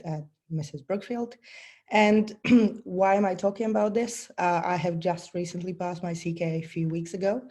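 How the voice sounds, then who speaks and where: A woman with a lowish, mellow voice, hoarse from a bad cold. She presents a technical talk over a video call and walks through each step earnestly, pausing on ums and clearing her throat.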